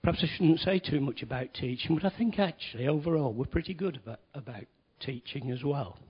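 Male lay preacher, fairly high husky voice, chatty with wry asides, muffled low-fi recording. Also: fairly quick. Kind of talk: conversational sermon. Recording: dull lo-fi recording.

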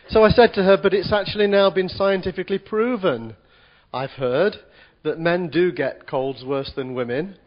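A man preaching a sermon in church, husky from a cold, chatty and self-deprecating with stammered restarts. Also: high-pitched, unhurried, long pauses, highs cut off. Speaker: visiting preacher.

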